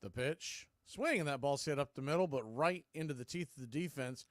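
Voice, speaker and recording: A man's voice: brisk, no room sound. Dry, close-miked male baseball announcer. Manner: clipped short phrases between pitches. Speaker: play-by-play baseball announcer and stream host. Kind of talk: live radio-style play-by-play commentary.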